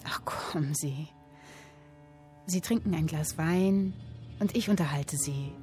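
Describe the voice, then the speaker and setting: Captive woman reading a story out loud, lowish voice, earnest, expressive, bit of music under. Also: slow. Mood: coaxing. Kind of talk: dramatic literary reading in radio drama.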